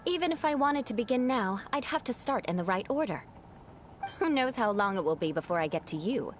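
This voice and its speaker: A proud noble knight. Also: female voice, a little high, big pitch swings, a little hiss or hum. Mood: haughty. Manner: formal, haughty, measured. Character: aloof young noble knight woman, proud and formal. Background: game music.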